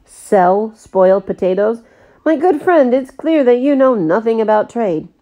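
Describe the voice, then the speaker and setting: A woman voicing a heavy, self-pitying Yankee trader man who groans and complains loudly. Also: on the high side, big pitch swings, normal speed, pitched above the performer's own voice. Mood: aggrieved.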